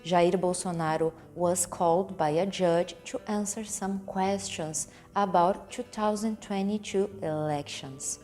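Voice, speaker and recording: A woman from Brazil, a non-native English speaker with a Brazilian Portuguese accent, hosting a relaxed English lesson and explaining words slowly and carefully. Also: fairly low pitch, faint music behind. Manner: slow and careful, stresses and repeats key words. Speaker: English teacher and channel host.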